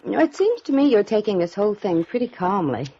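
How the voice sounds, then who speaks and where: A proud, guarded young society woman in a fifties radio mystery. Her medium-pitched voice is slightly husky, and she answers in cool, defensive retorts. The sound is muffled like an old broadcast. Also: sing-song, quick pace, dark tone. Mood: guarded, challenging. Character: haughty, guarded upper-class young heiress. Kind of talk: 1950s radio drama acting.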